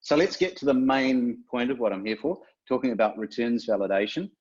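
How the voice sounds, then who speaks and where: A man's voice: mid-range voice, expressive, a little fast, a little mellow, breathy edge, dull audio. A man with a New Zealand accent giving a chatty, self-deprecating webinar presentation as a guest speaker from a retailer.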